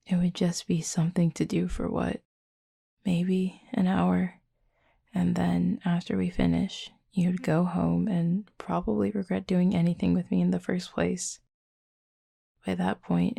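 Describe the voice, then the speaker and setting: A woman plays a confident, teasing werewolf woman in an intimate audio roleplay. Her voice is low and dark, breathy and hushed, with a gentle manner. She keeps it very even and close, in a dry recording. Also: no room sound, full character voice. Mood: gentle, serious. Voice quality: breathy, husky, fry-laden murmur. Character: flirty, caring female werewolf, confident and teasing.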